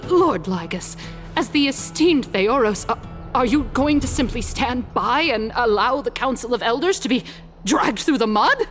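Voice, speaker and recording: A woman's voice: on the high side, expressive, moderate pace, soft background music, close to an everyday voice. An actress playing a haughty female council elder in a game cutscene, sputtering with imperious outrage. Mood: defensive indignation. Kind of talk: scripted game cutscene acting.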